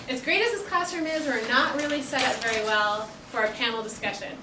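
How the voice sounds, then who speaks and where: A woman facilitating a conference panel, casual and friendly with frequent ums, speaking in a classroom. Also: on the high side. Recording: echoey classroom, distant mic, noisy.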